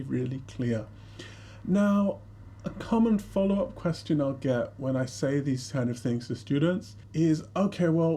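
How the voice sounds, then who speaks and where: A man's voice: on the high side, warm tone. A man giving an explanatory language lesson in a reassuring, conversational way. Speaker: English language teacher. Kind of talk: explanatory lesson talk to students.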